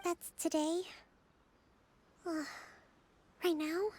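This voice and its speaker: A woman playing a gentle, composed young female leader in a video game, speaking in a polite and measured way. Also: extremely high pitch, level tone, a little hiss or hum, exaggerated voice. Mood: reluctant. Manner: polite, measured, sighing softly. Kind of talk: video game character acting.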